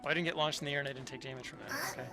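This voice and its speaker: A man's voice: fairly high pitch, rapid-fire. A man chatting casually in a slangy, rambling way as he streams a video game. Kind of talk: casual chat while playing. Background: game music.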